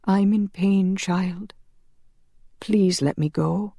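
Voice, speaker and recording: A narrator voicing a gentle, forgiving old male priest who is dying, speaking in a weak, kindly, resigned way in an audiobook. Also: mid-range voice, steady tone, very slow, vocal fry, slightly rough, dry recording, studio quality, voice pushed up, exaggerated voice. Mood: pained.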